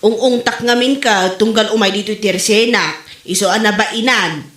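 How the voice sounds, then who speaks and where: A woman's voice: medium pitch, moderate pace, a bit bright, close to an everyday voice. A woman playing a blunt, gossipy neighbor in an amateur comedy drama, teasing and grumbling about others. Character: blunt, gossipy, irritated female neighbor friend. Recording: roomy, echoing room sound.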